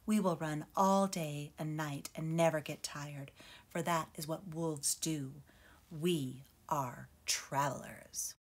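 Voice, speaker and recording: Storybook casting: a woman doing a patient old-hearted wolf uncle, soft and slow, dipping into a breathy whisper, a touch low. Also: fairly even intonation, full character voice. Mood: calm, hushed. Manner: slow, hushed, often whispering. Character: gentle wise male wolf uncle teaching cubs.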